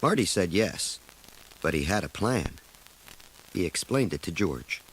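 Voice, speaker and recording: A man's voice: low-pitched, expressive, unhurried, a little hiss or hum, poor audio. A man narrating a children's storybook in a scripted read-along style.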